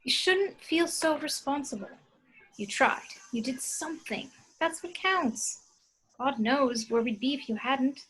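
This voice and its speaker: A woman's voice: sits high, expressive, a little slow, a bit bright, rough recording. A woman playing a candid, reassuring young girlfriend in a zombie siege table read, earnest and consoling.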